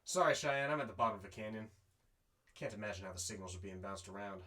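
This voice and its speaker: A man's voice: fairly low pitch, animated, fast talker, heavy background noise, natural voice. A man playing a weary, wry desert courier who narrates his own adventure in an audio drama. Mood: dry, puzzled. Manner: wry, self-talking. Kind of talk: first-person narration and amateur audio drama acting.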